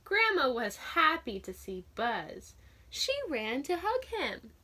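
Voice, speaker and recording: A woman teacher reading a picture book aloud to children, sounding out letters and vowel sounds clearly and asking her listeners questions. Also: high-pitched, very animated, slow, bright, forceful tone. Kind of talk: children's read-aloud phonics lesson.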